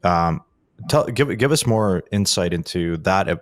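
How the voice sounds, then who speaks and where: Male podcast host, very low voice, loose rambling questions, dry and close. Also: animated, unhurried, no room sound. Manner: rambling questions with restarts and many "like"s.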